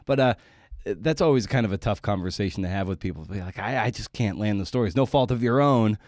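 A man hosting a conversational podcast interview, talking in a halting way as he rephrases his thoughts. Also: lowish voice, a little mellow, no room sound, studio quality. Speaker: podcast host interviewing a writer.

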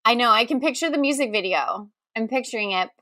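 A woman's voice: on the high side, lively intonation, fast talker, bright, forceful tone, no echo. A woman podcast co-host playing along in casual banter, exclamatory and openly exasperated. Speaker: podcast co-host and game contestant.

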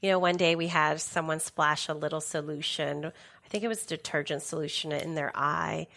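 A woman's voice: deep voice, steady tone, moderate pace, creaky. A woman whose first language is Spanish, a guest on a podcast interview, talking enthusiastically about her work. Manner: enthusiastic, warm-hearted, laughs often. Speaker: interview guest, safety leader. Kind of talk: casual interview chat.

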